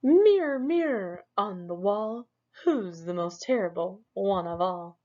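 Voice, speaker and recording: Lady putting on a high stompy monster guy, bragging loud, lo-fi sound. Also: expressive, takes it slowly. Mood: boastful. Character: vain male monster, boastful and stompy. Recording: dull lo-fi recording.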